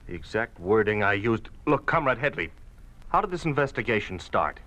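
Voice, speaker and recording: An actor playing an earnest, outspoken grown son in an old radio drama, with an assertive manner. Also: male voice, fairly low pitch, very animated, fairly quick, pushed and bright, dull audio. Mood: indignant, pleading. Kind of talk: 1950s radio drama acting.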